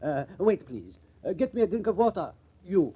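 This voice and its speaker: Stuffy snobby old-radio count, highish husky voice, tinny sound. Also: male voice, big pitch swings, normal speed, heavily acted. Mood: fussy, impatient. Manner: haughty, fussy, hesitant, formal diction with French interjections. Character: pompous, indignant European count, a visiting foreign nobleman. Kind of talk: 1950s radio drama acting. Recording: tinny, muffled vintage radio recording.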